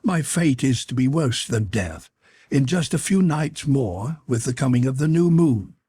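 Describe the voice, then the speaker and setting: A man's voice: medium pitch, animated, a little slow, warm tone, husky, pitched above the performer's own voice. A man voicing a young captive woman in an audiobook, earnest and sorrowful, with formal old-fashioned phrasing. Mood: sorrowful, resigned. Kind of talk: audiobook character voice.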